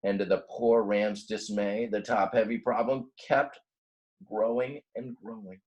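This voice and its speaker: A man with a fairly low voice reads a children's story aloud. He narrates expressively, in the way of a teacher. His explanations are simple and casual. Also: steady tone, measured pace, a little mellow, lo-fi sound. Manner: explains words simply in plain asides.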